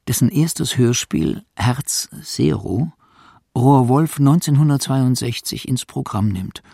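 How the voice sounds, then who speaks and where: A male narrator reads a scripted radio documentary. His voice is slightly husky and gravelly, recorded very dry and close. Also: mid-range voice, lively intonation, slow, warm tone, dry recording.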